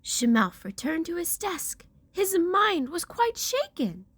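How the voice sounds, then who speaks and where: A woman's voice: very high-pitched, big pitch swings, slow pace, fairly bright tone, dry recording. A woman reading a rhyming children's picture book aloud with theatrical storyteller emphasis.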